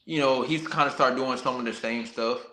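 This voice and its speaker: A bright, medium-pitched American man talks as a guest on a boxing show. He tells his story candidly and casually in colloquial speech, and the sound is echoey. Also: a little fast. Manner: candid, colloquial, peppered with 'you know what I'm saying'. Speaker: professional boxer, podcast guest. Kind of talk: casual personal storytelling in an interview.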